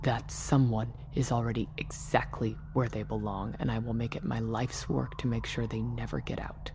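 An actress playing a controlling, weary tech company boss in an audio drama, guarded and persuasive, speaking in a measured, deliberate way. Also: female voice, very deep voice, level tone, background noise. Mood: firm, pleading. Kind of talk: naturalistic audio drama acting.

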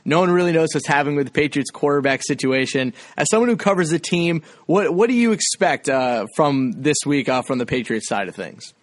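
A male sports podcast host with a fairly high-pitched voice, recorded dry with very little room sound. He talks informally, putting questions to guests in a loose, conversational style. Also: animated, a little fast, hardly any pauses, dry recording.

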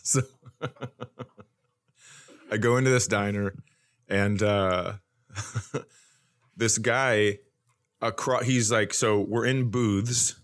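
A man's voice: fairly low pitch, steady tone, extremely slow, pauses often, fairly bright tone. Male, forties, comic storyteller, conversational, mock-confident, acts out dialogue. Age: 40s. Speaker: comedian, podcast host.

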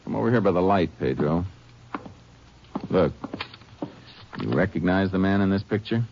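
Steady Ranger lawman, radio western. Low, terse, matter-of-fact, dull vintage radio sound. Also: normal speed, background noise. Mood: probing. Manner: laconic, matter-of-fact questioning. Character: steady, probing Texas Ranger lawman, male. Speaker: lead actor and narrator. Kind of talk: 1950s radio western acting and narration. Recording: muffled 1950s radio recording.

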